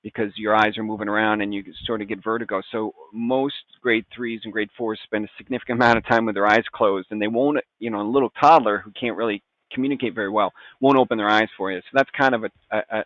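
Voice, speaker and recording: A man's voice: lowish voice, steady tone, fast talker, no room sound. Phone-line middle-aged man, folksy doctor. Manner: folksy, informal phrasing amid technical jargon. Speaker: physician guest, toxicologist. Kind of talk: conversational medical explanation. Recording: phone-like, narrow-band audio.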